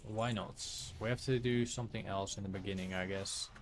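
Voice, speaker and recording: A Dutch man with a low-pitched voice streams his gameplay. He comments casually in Dutch-accented English as he goes, with faint game noise behind him. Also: fairly even intonation, a little fast. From Netherlands.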